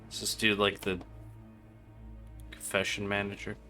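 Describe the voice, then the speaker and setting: A man's voice: on the low side, monotone, a little slow, bright, forceful tone. A man streaming a video game with casual, slangy, irreverent commentary. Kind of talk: casual live game commentary, crude joking. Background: game music and sounds.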